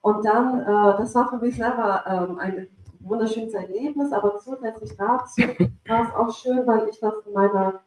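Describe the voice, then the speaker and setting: A woman whose first language is Pashto, speaking hesitantly at a live event with many pauses and fillers about narrating a children's story. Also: fairly high pitch, background noise. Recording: echoey room, mic at a distance.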